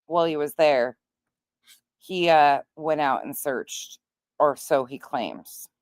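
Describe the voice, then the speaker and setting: A woman's voice: low voice, slow pace, smooth, dry recording. A woman hosting a commentary show, reacting to video clips in a sarcastic manner.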